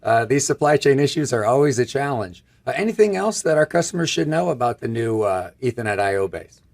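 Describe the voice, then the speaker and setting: A man's voice: medium pitch, sing-song, brisk, vocal fry. A man in his fifties from Michigan with a scratchy voice, hosting a technical live stream in an upbeat, chatty way. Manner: upbeat, chatty, gestures while talking. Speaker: host. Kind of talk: live stream product presentation. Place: room with a brick wall backdrop.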